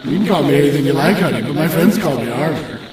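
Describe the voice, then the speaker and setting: A man's voice: fairly high pitch, expressive, fast talker, heavy reverb, far from the mic, crowd noise, lightly acted. A man playing a vain, gossipy talking suit of armor in a comic audio drama, with a campy, gushing, sassy drawl. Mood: sassy, eager. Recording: music behind the voice.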